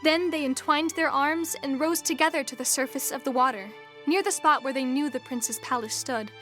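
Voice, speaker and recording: Female fairy-tale narrator, high voice, scripted storytelling with clear musical backing. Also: fairly even intonation, normal speed, a bit bright, soft background music.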